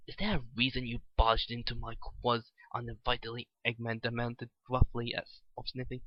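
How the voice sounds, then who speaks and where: A man narrating a story as an amateur reader, often stumbling and repeating his phrases. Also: medium pitch. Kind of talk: dramatic reading of fan fiction. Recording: echoey, slightly muffled home recording.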